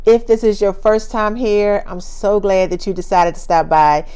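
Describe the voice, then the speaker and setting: A woman's voice: medium pitch, moderate pace, warm tone, smooth. A woman in her fifties hosting her own channel, talking casually and upbeat, laughing often and repeating phrases for emphasis. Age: 50s. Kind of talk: casual talk to camera.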